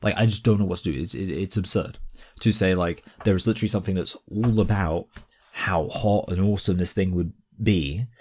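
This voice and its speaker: A man's voice: low voice, fairly quick, breathy edge. A man with a slight cough, hosting an argumentative commentary and talking emphatically with many fillers and restarts. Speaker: commentary host.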